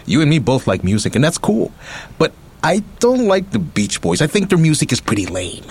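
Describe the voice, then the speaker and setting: An American man in middle age acting a world-weary, menacing yet tender ex-convict uncle in a radio drama, with a rough, husky voice. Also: fairly high pitch, sing-song, normal speed. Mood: teasing, animated.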